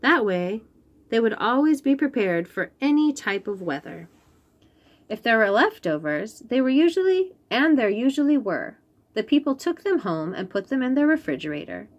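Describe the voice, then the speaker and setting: A woman hosts a read-aloud for little kids with a very lively, swooping delivery at a medium pitch. She reads expressively and breaks off to point out details in the pictures and ask the listeners questions. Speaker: children's read-aloud host. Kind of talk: animated picture-book reading with asides to young children.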